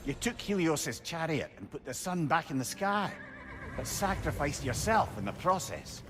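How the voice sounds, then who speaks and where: Lively, highish, curious companion. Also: male voice, moderate pace, noisy background. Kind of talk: video game voice acting.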